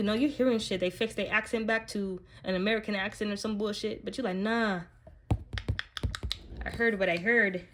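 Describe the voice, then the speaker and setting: A woman's voice: fairly high pitch, slight background noise. A woman giving a casual spiritual card reading, speaking directly to the listener in an emphatic, slangy, profane way. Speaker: tarot card reader, channel host.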